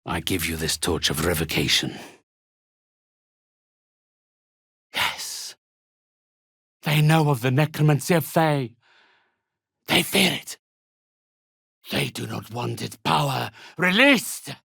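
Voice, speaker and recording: A sinister undead necromancer from a video game, husky and gravelly in a very dry, close recording. He speaks slowly and imperiously, with theatrical menace in every grand phrase. The voice often thins into a breathy hiss. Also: mid-range voice, very animated, pushed and bright, no echo, full character voice. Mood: grandiose, calculating. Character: haughty, sinister undead necromancer and spirit medium, male.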